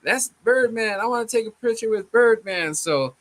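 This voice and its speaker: A man hosting a casual sports fan chat, his voice a little hoarse from chanting, laid-back and often saying "man". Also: sits high, expressive, moderate pace. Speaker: fan channel host.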